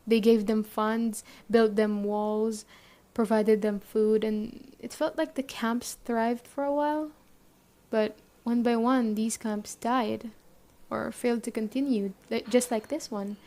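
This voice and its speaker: A woman playing a young zombie-apocalypse camp chief in a roleplay audio drama, explaining things conversationally to the listener with hesitant pauses. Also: a little high, steady tone, dark tone. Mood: matter-of-fact, reflective.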